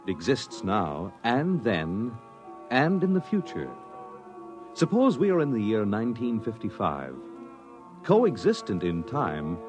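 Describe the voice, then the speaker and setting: A man narrating a 1950s radio drama in a dramatic, measured, portentous manner. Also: mid-range voice. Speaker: announcer and narrator. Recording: muffled old radio broadcast, loud music behind. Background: loud dramatic music.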